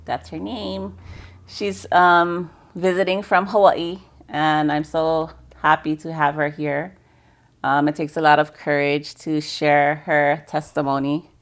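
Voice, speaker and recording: Deep, gravelly-voiced woman host. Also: little pitch movement, slow pace. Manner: chatty, supportive, finishes the guest's sentences. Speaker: podcast host. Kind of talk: casual conversational interview.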